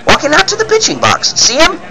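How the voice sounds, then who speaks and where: Excitable, squeaky-bright young cub reporter. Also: male voice, brisk, gravelly, full character voice, poor audio. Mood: excited, insistent. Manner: earnest, boyish, protesting. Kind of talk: 1940s radio acting. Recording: crowd noise behind the voice.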